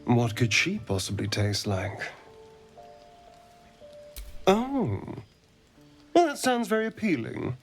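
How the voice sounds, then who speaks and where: A sly, refined vampire flirt from a video game. He speaks slowly in a theatrical, teasing drawl, his pitch swooping widely and sometimes dropping into breathy asides. Faint music plays behind him. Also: wildly swooping pitch, full character voice. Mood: relishing, musing. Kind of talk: video game companion dialogue.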